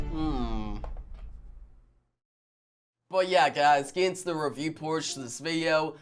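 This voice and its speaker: Unhurried, higher-pitched man reacting excitedly. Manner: exclamatory, slangy, emphatic. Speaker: reaction video host and reviewer. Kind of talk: casual excited reaction commentary.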